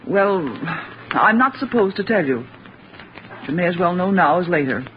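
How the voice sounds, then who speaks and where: A woman playing a stern, exasperated, sharp-tongued housekeeper, scolding in 1940s radio drama style. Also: lowish voice, animated, moderate pace, lightly acted. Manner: scolding, clipped. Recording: muffled, hissy 1940s radio recording.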